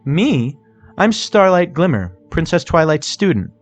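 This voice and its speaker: A man voicing a brash, quick-tempered female unicorn, firm and indignant, in a storybook reading. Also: on the high side, very animated, faint music behind, pitched above the performer's own voice. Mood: annoyed.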